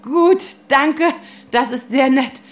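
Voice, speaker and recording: Thirties woman in an audio drama, flustered, trips over words, gets agitated easily. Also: sits high. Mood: apologetic, flustered. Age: 30s. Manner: halting, stammering, nervous laughs, quick to flare up. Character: flustered, agitated 35-year-old woman lawyer, daughter of Iranian exiles. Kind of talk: naturalistic German audio drama acting.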